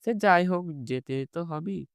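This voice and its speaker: A man playing an ordinary, impulsive young man in an animated horror story, conversational and often repeating his words. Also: high voice, normal speed, vocal fry, smooth, no echo, studio quality. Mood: musing, hesitant.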